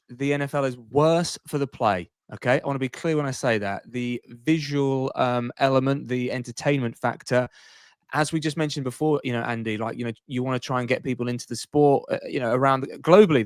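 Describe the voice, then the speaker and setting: Guy chatting sports on a podcast, repeats stuff for emphasis, mid pitch, really dry sound. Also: clean tone, no echo. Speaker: podcast co-host. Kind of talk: casual sports debate.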